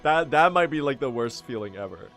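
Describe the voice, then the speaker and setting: A young man chatting casually to his stream while speedrunning, self-mocking and quick to laugh, his voice still recovering from strain. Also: medium pitch, expressive, a bit bright, faint music behind.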